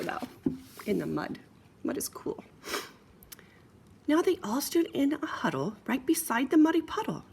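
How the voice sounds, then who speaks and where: A woman's voice: high voice, a little mellow. A woman reading a children's picture book aloud in a playful, sing-song way, with chatty asides. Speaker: storyteller.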